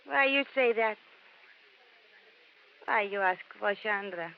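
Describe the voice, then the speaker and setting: An actress playing an alluring, guarded Singapore bar woman who speaks formal, halting broken English in a 1950s radio drama. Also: mid-range voice, vocal fry. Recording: dull 1950s radio recording, faint noise.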